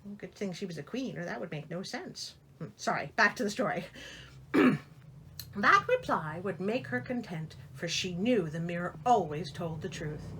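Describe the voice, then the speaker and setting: A woman's voice: lowish voice, sing-song, moderate pace, fairly bright tone, slight background noise, low-quality recording. A woman reading a fairy tale aloud expressively, with theatrical, incredulous and wry asides. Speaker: storyteller and host.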